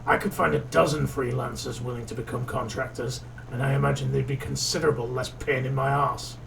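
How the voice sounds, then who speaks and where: A man playing a stern, suspicious city boss in a noir audio drama, gravelly, measured and condescending. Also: medium pitch, steady tone, fairly bright tone, distant mic, slight background noise, low-quality recording. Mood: menacing, condescending.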